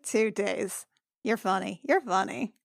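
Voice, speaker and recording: A woman's voice: medium pitch, a little slow, creaky, no room sound. A woman playing an anxious, sardonic adventurer in a tabletop game, dry and easily flustered.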